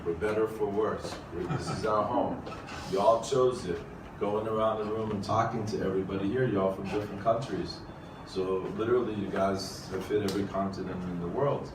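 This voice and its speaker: Low-voiced man hosting a forum, distant. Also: fairly even intonation, normal speed. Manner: earnest, encouraging, says y'all. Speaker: forum host. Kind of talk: addressing a room. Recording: echoey room, distant mic, noisy.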